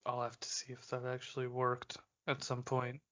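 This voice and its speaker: A man co-hosting a casual comedic podcast with a deadpan way of talking. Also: medium pitch, normal speed, lo-fi sound. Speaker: podcast co-host.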